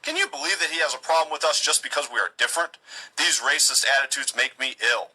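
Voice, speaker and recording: A man's voice: a little high, fast talker, bright, forceful tone, breathy edge, full character voice, poor audio. A man voicing a smug, preachy settler leader in a satirical cartoon, condescending and full of self-righteous pleading.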